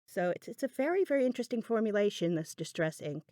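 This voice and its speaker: A woman's voice: medium pitch, expressive, fast talker, mellow, dry recording, studio quality. A woman giving a casual craft tutorial voiceover, chatty and thinking aloud as she goes. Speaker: craft channel host.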